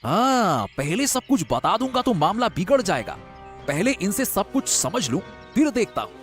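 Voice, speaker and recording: An actor playing a wily, flattering male villager who feigns humble concern in a melodramatic story, theatrical and obsequious. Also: medium pitch, moderate pace, soft background music. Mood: scheming. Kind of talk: melodramatic storybook audio drama.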